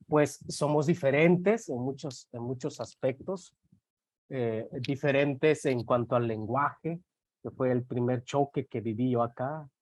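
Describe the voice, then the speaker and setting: A man's voice: medium pitch, dry recording. A man in his forties with a Yucatec Maya accent, Maya as his first language, speaking hesitantly with many fillers as a panelist in an online talk. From Mexico. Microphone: video call.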